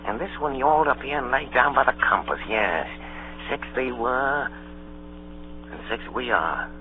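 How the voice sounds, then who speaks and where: An actor playing a sly, scheming old ringleader in a radio drama. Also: male voice, mid-range voice, lively intonation, unhurried, a little hiss or hum, natural voice. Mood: scheming, grim. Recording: old tinny radio broadcast, music behind.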